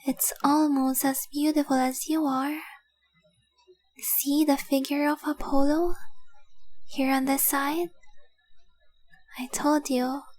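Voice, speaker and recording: High, slow-spoken tender dragon girl played by a woman. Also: fairly even intonation, dry recording, low-quality recording. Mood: adoring, playful. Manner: soft, earnest, adoring, often dropping to breathy whispers. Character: tender, devoted young female dragon-blooded fiancée. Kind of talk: intimate romantic audio roleplay.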